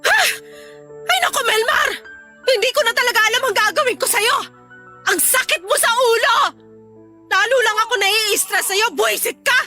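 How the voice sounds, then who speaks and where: A woman's voice: very high voice, normal speed, sharp tone, soft background music. A woman playing a fed-up, pregnant young Filipino wife and mother in a radio drama, scolding in an exasperated, melodramatic way.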